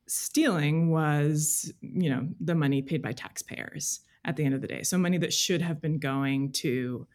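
A woman journalist speaking as an interview guest, explaining things in a measured, detailed, conversational way. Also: low-pitched, a little mellow. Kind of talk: conversational interview, recounting reporting.

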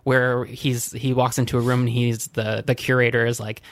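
A man's voice: medium pitch, level tone, normal speed, no echo, studio quality. A man co-hosting a podcast, casually giving frank opinions on movies, with fillers. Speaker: podcast co-host. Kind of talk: casual chat reviewing movies.

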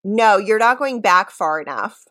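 A woman's voice: medium pitch, lively intonation, a little slow, bright, forceful tone, no echo, studio quality. A woman in her thirties co-hosting a casual comedy podcast, playful and jokey, often laughing as she talks. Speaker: podcast co-host and game quizmaster. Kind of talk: casual comedy chat with playful dramatic readings of song lyrics.

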